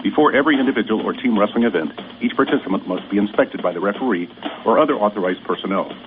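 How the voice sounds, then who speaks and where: A man with a lowish voice explains officiating rules, talking fast and matter-of-fact. The audio is narrow and phone-like, with clear hiss running behind it. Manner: brisk, matter-of-fact instructional tone. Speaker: officiating segment guest. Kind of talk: scripted explainer reading. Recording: tinny radio broadcast with clear hiss.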